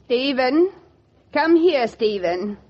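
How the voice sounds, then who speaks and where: A woman's voice: sits high, big pitch swings, slow pace, over loud music, exaggerated voice. An actress playing a stern, domineering older lady in a 1940s radio drama, scolding in an imperious, theatrical way. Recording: dull vintage 1940s radio recording.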